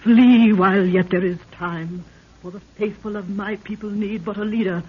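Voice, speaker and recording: A woman's voice: medium pitch, steady tone, normal speed, close to an everyday voice. Actress as a stately queen, muffled old radio. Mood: urgent, pleading. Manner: stately, archaic, declamatory speech. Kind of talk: 1930s radio drama acting. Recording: scratchy, muffled 1930s radio recording.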